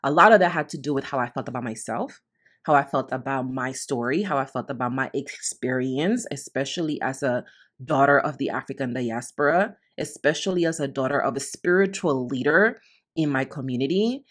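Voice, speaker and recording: Low-voiced earnest woman podcast host. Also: lively intonation, brisk. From Honduras, raised in the Bronx, New York. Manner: earnest, heartfelt, repeats phrases for emphasis. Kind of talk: reflective solo podcast monologue.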